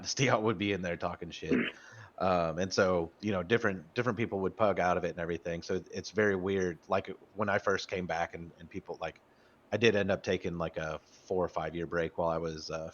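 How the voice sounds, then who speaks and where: Casting: low-voiced man in his 30s, loose rambling podcast talk, muffled lo-fi sound. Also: steady tone, brisk. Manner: rambling, stammering restarts, swears freely. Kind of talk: casual gaming chat. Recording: muffled lo-fi audio.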